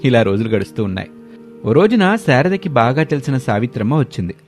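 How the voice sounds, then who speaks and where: A man's voice: mid-range voice, very animated, a little fast, darkish tone, soft background music. A man narrating a scripted moral family story as a storyteller.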